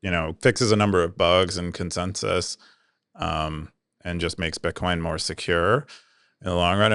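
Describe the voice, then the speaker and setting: A man's voice: low-pitched, a bit bright, smooth, dry recording, studio quality. A man co-hosting a casual podcast, explaining technical ideas plainly in a loose, chatty way with lots of fillers. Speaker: podcast co-host. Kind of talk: casual chat.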